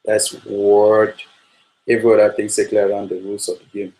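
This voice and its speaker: Low, flat-voiced coach. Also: male voice, poor audio. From Nigeria. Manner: measured, repetitive, with frequent fillers. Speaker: football coach. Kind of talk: spoken coaching talk.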